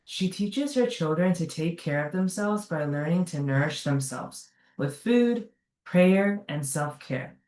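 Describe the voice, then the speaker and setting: A woman's voice: on the low side, lively intonation, unhurried, a little mellow. A woman earnestly reading a prepared speech at a community gathering, correcting herself now and then. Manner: earnest, careful delivery with small self-corrections. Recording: echoey room, mic at a distance.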